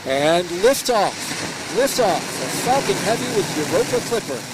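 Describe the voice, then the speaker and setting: A man's voice: high voice, sing-song, moderate pace. A man giving live rocket launch commentary. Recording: noisy distant broadcast with engine roar.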